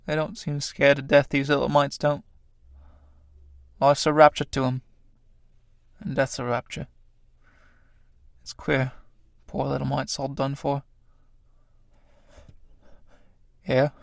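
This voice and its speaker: A man playing a battered tramp in a stage-play reading, with rough colloquial speech and a pitying, defiant temper. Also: fairly high pitch, steady tone, moderate pace, pauses often, no room sound, clean studio sound, lightly acted. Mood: pitying, musing.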